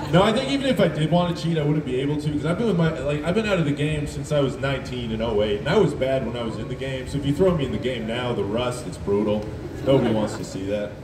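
A deep-voiced man in his thirties works the crowd in a deadpan, self-mocking stand-up set. His voice booms through festival speakers and reaches the phone from far back, with echo and a loud, laughing crowd around it. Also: fast, background noise. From Canada (Alberta). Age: 30s. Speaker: stand-up comedian. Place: outdoor festival stage in a city park at night. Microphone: PA speakers recorded by a phone in the crowd. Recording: echoey amplified sound from far off. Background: laughing, cheering crowd.

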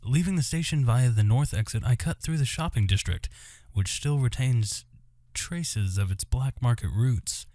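A man's voice: medium pitch, expressive, moderate pace, warm tone, no echo, studio quality. A man hosting a gaming video, reading visual novel text aloud with asides and stumbling over some words and names. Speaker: gaming host. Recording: music under the voice. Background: game music with guitar.

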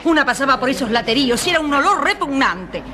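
Very high snobbish disgusted woman. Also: very animated, fast, pushed and bright, soft background music, exaggerated voice. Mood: disgusted, haughty. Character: snobbish, disgusted respectable mother. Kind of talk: radio drama acting.

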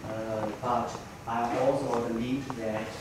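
A man with a Chinese accent, a non-native speaker, making a halting comment from the audience, phrase by phrase. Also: medium pitch, little pitch movement. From China. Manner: hesitant, word-by-word phrasing. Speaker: audience member at conference. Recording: very echoey room, distant mic, loud noise.

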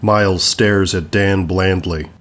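A man's voice: sits low, steady tone, slow pace, fairly bright tone. A man reading scripted stage directions as the narrator of an audio play.

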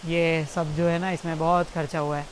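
Young Indian comic, twenties, awkward and self-mocking, swearing, talking to camera outside, distant mic, faint hiss. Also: male voice, fairly high pitch, little pitch movement, moderate pace. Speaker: stand-up comedian. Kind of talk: rambling outtakes to camera, then stand-up set. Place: outdoors by a jungle stream.

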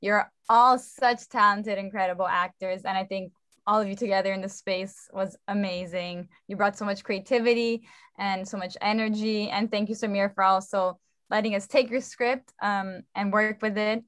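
A woman's voice: mid-range voice, steady tone, moderate pace, a bit bright. A young woman speaking informally and earnestly as a play director on a video call, full of appreciation. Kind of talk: informal thanks to a cast. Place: indoor room on a video call.

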